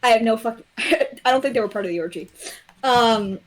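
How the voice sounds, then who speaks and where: Young woman, higher voice, blunt and profane, casual livestream banter. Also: moderate pace. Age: young adult. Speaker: guest on a development livestream. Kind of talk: casual chat.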